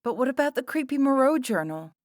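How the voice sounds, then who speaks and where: An indignant young schoolgirl from a storybook, acted out by a man, wailing her accusations in a high-pitched voice. She is defiant and sounds close and dry. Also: big pitch swings, a little fast, no echo. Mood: incredulous, accusing.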